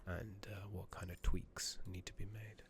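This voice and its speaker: A man with a low, creaky voice speaks softly and often slips into a whisper as he comments on a game he is playing. He takes his time, musing to himself in halting murmurs. There is clear noise behind him, with keyboard clicks. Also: flat delivery. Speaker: ASMR gaming streamer. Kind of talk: soft-spoken, whispered ASMR game commentary. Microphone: USB condenser microphone on a tripod with pop filter.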